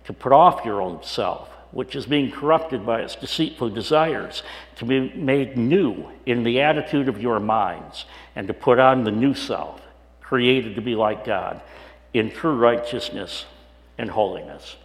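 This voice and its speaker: Hoarse raspy-voiced pastor in echoey hall. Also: male voice, mid-range voice, lively intonation, a little slow. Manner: conversational with frequent "uh" restarts. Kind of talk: sermon. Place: church sanctuary. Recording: echoey hall with long reverb.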